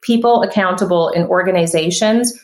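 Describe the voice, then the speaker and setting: A woman's voice: lowish voice, fairly quick. Podcast guest, woman, 30s, punchy conversational delivery, echoey room. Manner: emphatic, animated, frequent restarts. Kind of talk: casual conversational interview.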